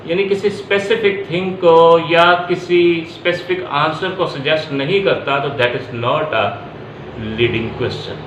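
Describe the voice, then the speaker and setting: A man with a fairly high-set voice delivers an explanatory lecture. He works through each point step by step, often repeating phrases. The recording has strong room echo and a faint layer of noise behind him. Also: a bit bright. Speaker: law lecturer. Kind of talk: explanatory lecture mixing Urdu and English.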